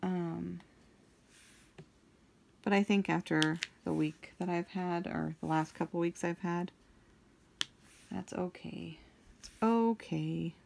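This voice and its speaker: A young woman with a deep, somewhat monotone voice, chatting in a slow, meandering way with many pauses and ums as she works on a craft for her vlog. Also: creaky, slight background noise. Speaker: craft vlogger. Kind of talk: rambling chat while crafting.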